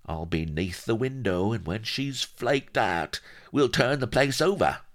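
A scheming little rogue from a comic adventure story, acted out by a male narrator. He is impatient and mocking, talks in archaic slang, and his pitch jumps up and swings about with a gravelly edge. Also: animated, measured pace. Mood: gruff urging.

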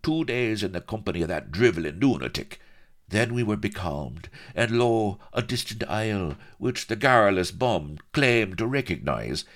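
A man voicing a brash male colonel, a swaggering soldier of fortune who talks in a gruff, scornful way. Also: on the low side, very animated, normal speed, a bit bright, voice pushed up.

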